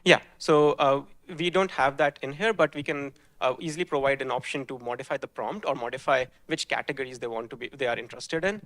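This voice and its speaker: A man's voice: medium pitch, brisk, few pauses, a bit bright, no room sound. A man presenting his team's hackathon project, explaining the technical pipeline step by step and often restarting his sentences.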